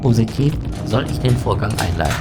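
Man voicing a low, unemotional space station computer. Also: expressive, close to an everyday voice. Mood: calm, matter-of-fact. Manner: precise, measured, unemotional reporting. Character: calm, formal shipboard computer of a space station. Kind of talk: science fiction audio drama acting. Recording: loud music bed behind voice.

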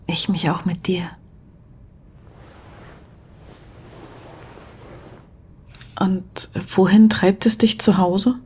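A woman acting a tender, thoughtful character in an intimate audio spy drama, speaking softly and gently probing. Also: medium pitch, steady tone, unhurried, breathy edge. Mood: teasing, tender.

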